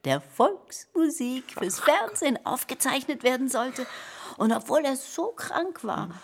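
A woman's voice: sits high, big pitch swings, a little slow, creaky, husky, heavily acted. An actress playing a gossipy, starstruck woman telling an anecdote chattily in a radio play. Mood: gossipy, awed. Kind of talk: naturalistic radio play dialogue.

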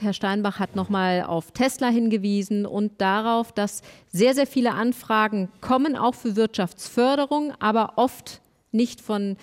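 A woman hosts a live radio panel forum. She introduces guests in a clear announcing voice and puts follow-up questions with a few hesitations. A long hall reverb surrounds her. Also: medium pitch. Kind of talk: moderating a panel.

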